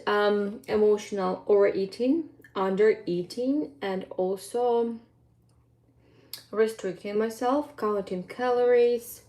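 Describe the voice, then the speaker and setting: Mellow-voiced Ukrainian woman vlogging. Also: medium pitch. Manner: earnest, rambling, with frequent ums and non-native phrasing. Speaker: fitness coach. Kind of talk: casual vlog monologue.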